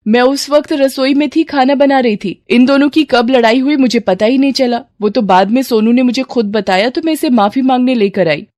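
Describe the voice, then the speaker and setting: A woman's voice: medium pitch, moderate pace, darkish tone, husky, no echo, studio quality, natural voice. A woman playing an earnest, kind young mother from next door in a domestic story. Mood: defensive.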